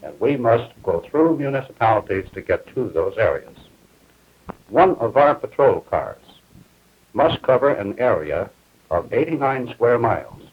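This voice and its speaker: A man speaking as a police major, giving a formal explanatory briefing in deliberate official phrasing on 1950s film. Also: medium pitch, big pitch swings, a little hiss or hum. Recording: muffled 1950s film soundtrack.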